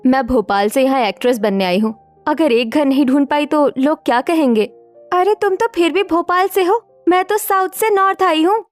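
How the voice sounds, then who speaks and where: Woman playing a high-voiced spirited young woman. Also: moderate pace, faint music behind, lightly acted. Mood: worried. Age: young adult. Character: spirited, scheming young modern single women seeking housing. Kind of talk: acted Hindi story dialogue.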